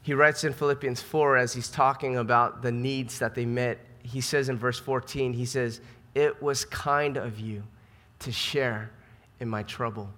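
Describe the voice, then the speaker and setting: A man's voice: medium pitch, steady tone, unhurried, fairly bright tone. An American man preaching a sermon as a pastor, speaking in an earnest, conversational way with emphatic repetition. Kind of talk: sermon to a church congregation. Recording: reverberant hall sound.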